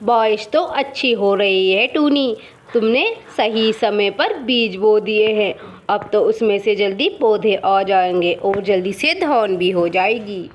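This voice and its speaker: Woman voicing a slow-talking, sensible cartoon bird. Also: medium pitch, pitched below the performer's own voice, lightly acted. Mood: friendly, reassuring. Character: supportive, sensible grown bird neighbour. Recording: muffled, with music behind.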